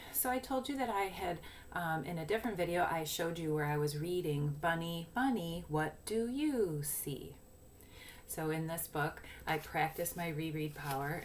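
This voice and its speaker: A woman teacher giving a lesson and read-aloud for young children, explaining simply and deliberately, step by step. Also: lowish voice, slight background noise. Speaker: early primary school teacher.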